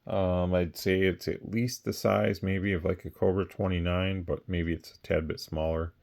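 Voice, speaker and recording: A man's voice: sits low, level tone, moderate pace, gravelly, darkish tone. A man giving a casual, unscripted product rundown as a seller, plainspoken and rambling. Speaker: radio seller presenting a product. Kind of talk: casual off-the-cuff product talk.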